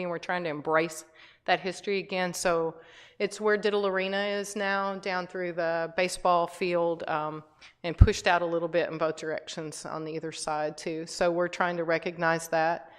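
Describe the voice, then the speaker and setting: A middle-aged woman giving a conversational talk to a church group, with many fillers and restarts. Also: lowish voice. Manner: conversational, frequent fillers and restarts, laughs lightly. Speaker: guest speaker. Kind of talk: informal talk to a church audience. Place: church hall.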